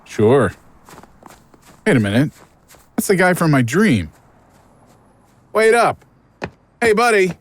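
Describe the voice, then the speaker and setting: A man's voice: a little high, sing-song, slow, long pauses. An actor playing an earnest, troubled man with a hesitant, pleading manner in an audio drama. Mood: uneasy. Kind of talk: horror audio drama acting.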